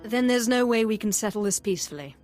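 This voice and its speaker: A woman's voice: fairly high pitch, expressive, quick pace, a little mellow, soft background music, natural voice. A woman as a composed, commanding Sith agent. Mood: cool command. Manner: controlled, authoritative.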